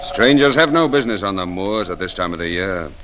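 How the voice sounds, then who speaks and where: An actor playing a bitter, hostile master of a moorland manor, curt and gruff, in 1940s radio drama style. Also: male voice, low voice, animated, a little fast, pushed and bright. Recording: noisy old 1940s radio recording.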